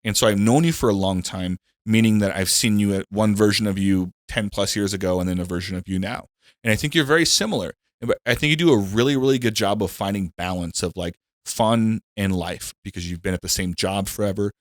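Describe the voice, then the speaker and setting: A man's voice: fairly low pitch, brisk, no echo, clean studio sound. A man in his thirties hosting a casual comedy podcast, joking loosely and swearing now and then. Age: 30s. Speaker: podcast host.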